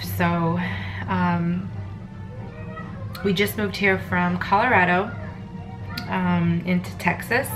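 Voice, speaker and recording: A woman with a lowish voice and a stuffy, congested head cold speaks to her camera in a casual vlog. She rambles earnestly and from the heart, full of ums and pauses. Clear music plays behind her. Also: poor audio. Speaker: family vlogger. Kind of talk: casual personal vlog monologue.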